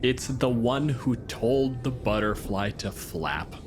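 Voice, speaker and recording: A man's voice: mid-range voice, expressive, slow, soft background music. A man hosting a podcast, discussing games casually with emphatic phrasing. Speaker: podcast host.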